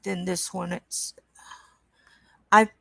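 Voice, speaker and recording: A woman with a croaky voice hosting a coloring livestream, chatting casually and reading chat aloud at an easy pace. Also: fairly low pitch, little pitch movement, no echo. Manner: slow, unhurried, reads chat aloud with soft asides. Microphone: webcam.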